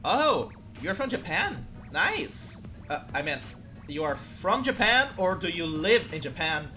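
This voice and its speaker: Excitable male streamer. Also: high voice, measured pace, soft background music. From Brazil. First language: Portuguese. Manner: excitable, flustered, chatty. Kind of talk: live game commentary and chat.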